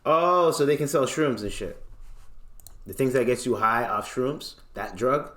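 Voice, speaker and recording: A man's voice: medium pitch, measured pace, a bit bright. Echoey male podcast host, playful and slangy. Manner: playful, slangy, theatrical when reading aloud, repeats words. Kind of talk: casual podcast commentary with dramatic reading of news. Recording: echoey, roomy sound.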